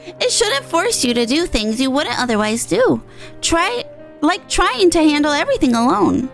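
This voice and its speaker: A woman's voice: sits high, sing-song, normal speed, gravelly, voice pushed up, heavily acted. A woman voicing a small, motherly innkeeper from a video game, caring, folksy and encouraging. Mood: gently firm. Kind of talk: video game dialogue read in character. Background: game music.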